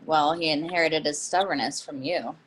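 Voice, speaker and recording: A woman's voice: deep voice, steady tone, moderate pace, slight background noise, lightly acted, low-quality recording. A woman playing an easygoing, teasing mother in a stage play reading. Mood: relaxed, teasing. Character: easygoing, well-dressed mother with teasing wit. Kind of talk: readers theatre dialogue.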